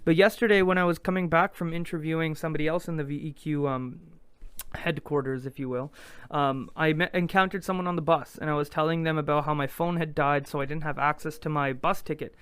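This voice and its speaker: Smooth slightly high-voiced male host. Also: steady tone, brisk, a bit bright, dry recording. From Quebec. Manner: rambling, with repeated restarts. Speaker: podcast host. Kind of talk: casual podcast conversation.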